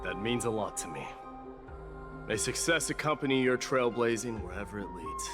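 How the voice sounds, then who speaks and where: An actor playing a solemn, reserved warrior prince and guardian in a video game, speaking formally and earnestly. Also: male voice, medium pitch, lively intonation, moderate pace, close to an everyday voice. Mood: solemn, grateful. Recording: loud music behind.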